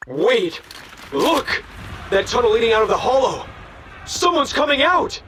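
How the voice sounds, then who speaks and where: A man's voice: extremely high pitch, big pitch swings, a little slow, slight background noise, exaggerated voice. A man playing a flustered, urgent corporate underling in a video game cutscene. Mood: startled. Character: panicky corporate underling reporting to his boss. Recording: loud music over the voice.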